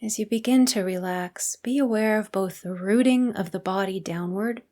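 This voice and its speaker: A woman leading a guided meditation as a teacher, speaking in a calm, unhurried, soothing way with long pauses. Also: mid-range voice.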